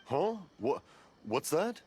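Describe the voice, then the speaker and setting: A man's voice: a little high, big pitch swings, noisy background. A man voicing a kindly, rambling old hard-of-hearing fireworks craftsman in an anime game, with music behind him. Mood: confused, cheerful. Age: older. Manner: rambling, jolly, drawn-out storytelling.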